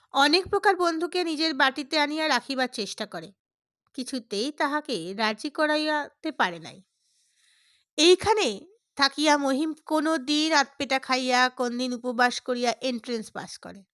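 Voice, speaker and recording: A woman's voice: high-pitched, a bit bright, no echo, studio quality. A woman reading a literary story aloud as scripted narration. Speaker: audiobook narrator.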